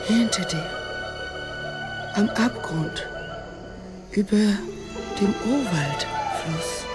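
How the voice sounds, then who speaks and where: Slow, seductive, mysterious temptress. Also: female voice, mid-range voice, animated. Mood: seductive, eerie. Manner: slow, luring, hushed at times. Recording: loud music behind.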